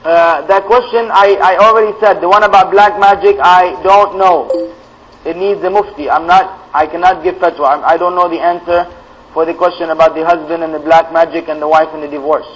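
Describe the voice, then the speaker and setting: A man's voice: a little high, steady tone, fairly quick, highs cut off. A man whose first language is Arabic, answering viewers' religious questions live as a preacher, reading each question aloud and replying firmly, repeating key phrases for stress.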